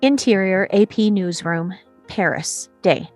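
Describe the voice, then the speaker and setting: A woman reading screenplay action lines as scripted narration, in a storytelling way with short pauses. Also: medium pitch, faint music behind. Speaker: stage directions reader.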